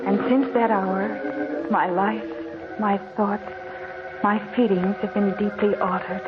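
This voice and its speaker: A woman acts a devoted, anxious young wife in a 1940s radio melodrama. Her speech is formal and earnest at a medium pitch. Music plays behind the muffled recording. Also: steady tone, takes it slowly, close to an everyday voice. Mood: grave, sorrowful. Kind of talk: 1940s radio drama acting.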